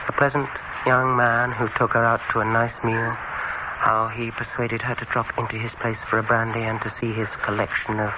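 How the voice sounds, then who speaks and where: Actor as slow, sinister vengeful male dentist. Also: lowish voice, natural voice. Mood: bitter, wounded. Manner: slow, deliberate, gloating delivery with long pauses. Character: sinister vengeful male dentist, softly menacing, savouring cruelty. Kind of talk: dramatic radio horror monologue. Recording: muffled, very noisy old radio recording.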